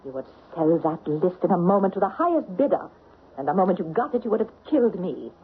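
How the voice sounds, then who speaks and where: An actress playing a shrewd, bold French stage star in an old radio mystery, with a theatrical, coolly taunting manner. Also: female voice, lowish voice, big pitch swings, fast, husky, exaggerated voice. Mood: taunting, assured. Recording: tinny, noisy old radio recording.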